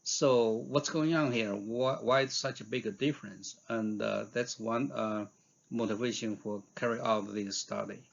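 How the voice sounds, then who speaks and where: A man giving a scientific conference talk in a halting way, with frequent hesitations and fillers. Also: fairly low pitch, fairly even intonation, creaky, muffled recording. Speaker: researcher giving invited talk.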